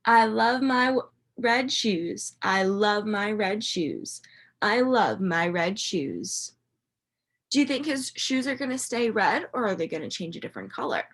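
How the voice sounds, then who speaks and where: A woman reading a picture book to young children like a teacher, expressive and questioning, with dramatic emphasis and a slightly husky voice. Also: mid-range voice. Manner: animated, expressive storytelling with dramatic emphasis and pauses. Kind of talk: interactive children's read-aloud, asking questions.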